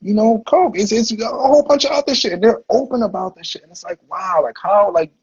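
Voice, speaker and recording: Host, male, husky and a bit high-pitched, relaxed slangy storyteller, dull lo-fi audio. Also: a little fast. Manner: laid-back, slangy, heavy on "like" and "you know". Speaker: podcast host. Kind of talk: casual livestream interview storytelling.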